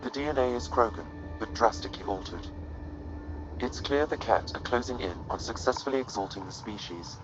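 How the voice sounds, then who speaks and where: An actor playing a calm, analytical artificial intelligence companion in a video game, measured, formal and precise. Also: male voice, lowish voice, dull audio, music in the background, close to an everyday voice. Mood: informative, formal.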